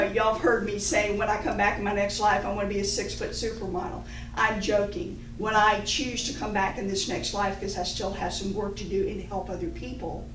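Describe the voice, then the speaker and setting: A woman, giving an inspirational spiritual teaching in a deliberate, emphatic, repetitive way. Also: mid-range voice. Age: 50s. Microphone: distant microphone. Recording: echoey room, distant mic, clear background noise.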